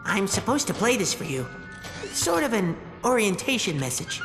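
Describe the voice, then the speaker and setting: Animated guide in echoing hall. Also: female voice, mid-range voice, moderate pace. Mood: warm, explaining. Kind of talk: video game cutscene acting. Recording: echoey hall, loud music behind.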